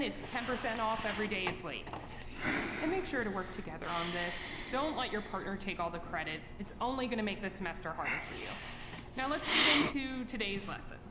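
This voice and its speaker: A businesslike professor, played by an actress, lectures her class in a game scene. Her high voice rings through an echoey hall with clear room noise. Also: lightly acted. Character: businesslike female college biology professor. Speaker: teacher.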